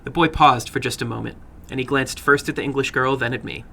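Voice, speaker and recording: A man's voice: medium pitch, steady tone, brisk, creaky, bright, forceful tone, a little hiss or hum. A man giving a dramatic scripted narration of horror fiction, as the host of a story broadcast.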